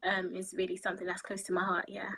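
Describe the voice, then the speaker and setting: A woman, answering interview questions over a video call in a measured way, with frequent ums. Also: lowish voice, completely flat delivery, creaky, a bit bright, slightly rough. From London, UK. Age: 20s. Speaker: interview guest. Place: indoor room.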